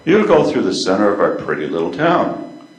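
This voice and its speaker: A man's voice: fairly low pitch, sing-song, moderate pace. An older man in amateur readers theater playing a courteous, proud country hotel concierge with a salesman's patter. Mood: eager, courteous. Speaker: play reader. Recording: echoey room.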